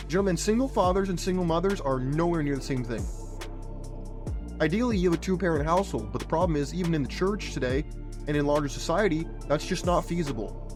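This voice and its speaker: Hoarse guy ranting on his podcast, sarcastic and preachy, slightly high voice, music playing under him. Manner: provocative, sarcastic, lecturing. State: hoarse, voice partly gone. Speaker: podcast host. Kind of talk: opinionated podcast monologue.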